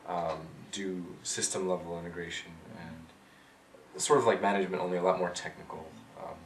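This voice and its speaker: A young man answering interview questions in a relaxed way, thinking aloud with lots of ums and uhs. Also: low-pitched, moderate pace. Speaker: interviewee, rover systems engineer. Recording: roomy, distant mic, clear background noise.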